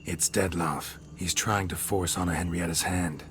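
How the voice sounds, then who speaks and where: A man playing a stoic, blunt monster hunter in a video game, speaking in a terse, deadpan way. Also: low-pitched, normal speed. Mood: matter-of-fact. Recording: loud music under the voice.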